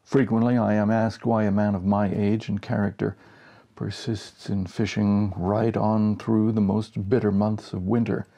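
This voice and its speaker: A man in his sixties giving an expressive reading of a humorous story. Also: fairly low pitch, unhurried, creaky. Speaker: story reader. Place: small home office, indoors.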